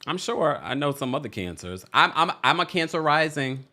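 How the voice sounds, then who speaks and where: A man with a deep voice hosts a casual celebrity news show. He talks in a chatty, animated way and laughs often. His pitch swings widely from low to high. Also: moderate pace. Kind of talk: casual commentary livestream.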